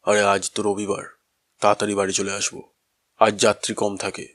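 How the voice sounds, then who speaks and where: Man acting a fast-talking humble village boatman. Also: fairly low pitch, completely flat delivery, a bit bright, lightly acted. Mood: reassuring, casual. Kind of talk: cartoon dialogue.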